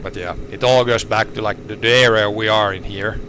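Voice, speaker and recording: A laid-back man, slightly low-pitched, talking from a tractor cab. He keeps restarting his phrases in a halting way. A steady hum of engine and air conditioning runs clearly behind him. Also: poor audio. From Finland. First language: Swedish. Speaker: organic farmer. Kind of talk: casual chat while tractoring.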